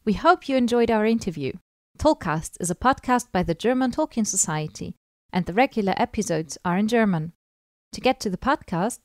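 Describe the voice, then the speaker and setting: A woman's voice: medium pitch, a little mellow, dry recording, studio quality. A woman hosting a podcast and interviewing a guest.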